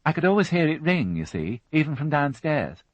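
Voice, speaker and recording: A man's voice: fairly high pitch, big pitch swings, normal speed, no room sound, pitched above the performer's own voice. A man voicing a fussy, prim middle-aged housewife who chatters and turns indignant, in a storybook reading. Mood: chatty. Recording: dull, dry studio audiobook.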